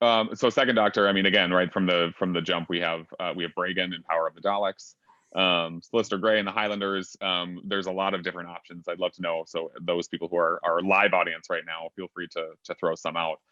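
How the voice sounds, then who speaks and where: A man's voice: deep voice, level tone, fast, pushed and bright, no echo. A man on a panel, chatting casually over a video call and filling his talk with ums and sort-ofs. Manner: chatty, full of fillers and hedges. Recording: dull, dry lo-fi audio.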